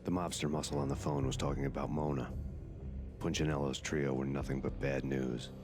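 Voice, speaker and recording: A bottomless, flat-toned male voice narrates as a bitter, world-weary cop in a noir game. He is brooding and sardonic, every line deadpan, with loud music playing underneath. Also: rumbling low, a little fast. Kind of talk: hardboiled noir voice-over narration.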